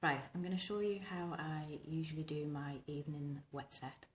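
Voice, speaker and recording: A woman in her thirties with a husky, rumbling, slightly hoarse voice, chatting casually and ramblingly through a hairstyling tutorial to camera. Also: moderate pace, echoey room, slight background noise. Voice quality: husky, rumbling, smoky voice from tonsillitis. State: recovering from tonsillitis, hoarse. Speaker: vintage hairstyling vlogger. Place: small domestic bedroom, indoors.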